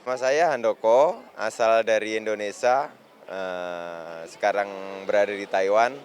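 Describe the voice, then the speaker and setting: Low-voiced man, hesitant. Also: slight background noise. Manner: hesitant, halting, many fillers. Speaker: factory worker. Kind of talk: street interview answers.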